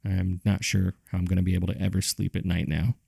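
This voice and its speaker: A man with a gravelly, husky voice reading scripted horror case files in a measured, subdued way, as narrator and host. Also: low-pitched, little pitch movement, darkish tone, a little hiss or hum.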